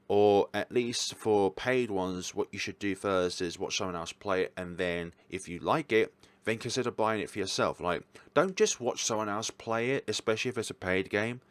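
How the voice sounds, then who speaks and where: A man's voice: fairly low pitch, moderate pace, dry recording. A man hosting a casual, chatty ranking of games, rambling and correcting himself as he goes, with an enthusiastic, hesitant manner. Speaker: host reviewing visual novels.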